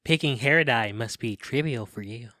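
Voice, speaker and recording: An actor plays an ardent, earnest young poet in an audio drama. The mid-pitched voice delivers flowery, gallant declarations of devotion. The sound is dry and close. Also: moderate pace, bright, forceful tone, no room sound, lightly acted. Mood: devoted, earnest. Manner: florid, ardent poetic declarations. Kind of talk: Shakespearean verse in modern audio drama.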